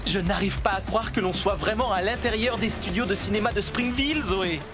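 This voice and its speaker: A man's voice: high voice, fairly quick, close to an everyday voice. A man playing a cheerful, encouraging builder and team leader in a French children's cartoon, friendly and upbeat. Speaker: voice actor. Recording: music behind the voice.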